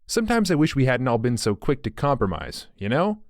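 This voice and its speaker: A man's voice: mid-range voice, very animated, brisk, no echo, studio quality. Man playing a drunk, bitter young man. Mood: agitated. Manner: blurting, rambling, scornful.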